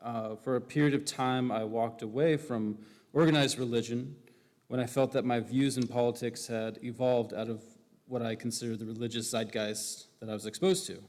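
A man from Hawaii giving an earnest, measured faith testimony in church, with occasional fillers. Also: mid-range voice, fairly even intonation, a little mellow. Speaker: new church member candidate. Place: church sanctuary. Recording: long reverb of a large hall.

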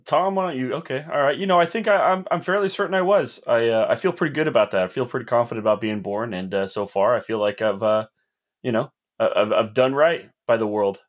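A man's voice: medium pitch, fast talker. A man co-hosting a casual podcast, chatty and rambling, teasing his friends. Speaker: podcast co-host.

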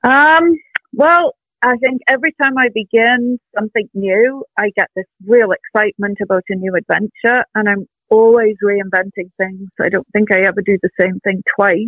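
An older woman artist talking in a casual interview, reflective and earnest, pausing with soft ums, heard over a phone call. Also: mid-range voice, steady tone, no echo. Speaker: artist guest. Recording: tinny dry phone line.

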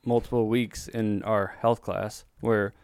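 A man's voice: lowish voice, level tone, takes it slowly, creaky, darkish tone, smooth, dry recording, studio quality. A man from Texas with a Texan accent, co-hosting a podcast in casual, joking conversation. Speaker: podcast co-host.

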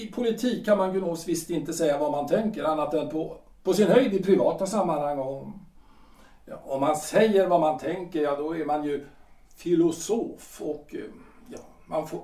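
A man's voice: high voice, animated, mellow, recorded from a distance. A man playing Gustav, a hesitant lawyer and politician in his forties, who halts and hedges with many fillers. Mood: uneasy. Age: 40s. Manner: hesitant, halting, full of fillers. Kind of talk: audio drama acting. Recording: echoey room, voice off-mic.

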